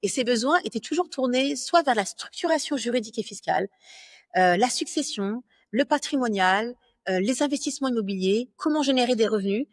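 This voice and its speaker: A woman's voice: a little high, animated, brisk, dry recording. Self-assured French woman, dry close sound. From France. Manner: fluent, self-assured, many fillers and self-corrections. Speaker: business lawyer guest. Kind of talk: interview conversation.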